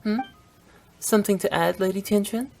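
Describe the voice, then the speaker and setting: A woman's voice: medium pitch, fairly even intonation, normal speed, gravelly, warm tone, faint music behind, close to an everyday voice. A woman playing a cheeky, self-assured young funeral director in a video game, breezy and playful. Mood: breezy, confident. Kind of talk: English video game voice acting.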